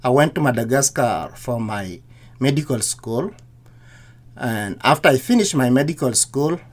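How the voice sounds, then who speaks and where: A man's voice: medium pitch, lively intonation, unhurried. A middle-aged man from Congo with a Congolese accent, a nurse telling his personal story as an interview guest.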